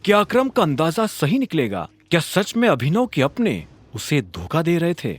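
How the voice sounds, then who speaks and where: A man's voice: fairly high pitch, slightly rough. A man narrating an audio drama in a dramatic, scripted storytelling style.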